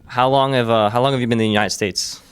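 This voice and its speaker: A man's voice: mid-range voice, steady tone, fast, clean tone. A man interviewing someone informally, with short questions and teasing remarks. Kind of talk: casual interview chat.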